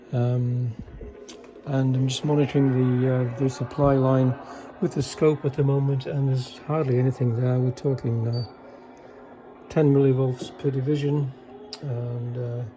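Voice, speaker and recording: A man with a soft-edged, mellow voice and medium pitch talks through a hands-on electronics demo. He is hesitant and understated, explaining technical details casually, without a script. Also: poor audio. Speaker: electronics hobbyist demonstrating a repaired vintage car radio. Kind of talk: casual unscripted demonstration.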